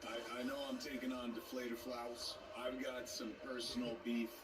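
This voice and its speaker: A man's voice: mid-range voice, flat delivery, moderate pace, heavy background noise. A man giving a jokey trash-talk promo full of wordplay. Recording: loud music behind.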